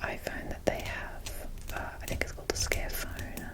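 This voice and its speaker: A young Australian woman whispers breathily, with hardly any voiced sound. She rambles casually in an ASMR style, as if showing items one by one. Her delivery stays hushed and informal. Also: moderate pace. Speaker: ASMR creator showing a doll collection.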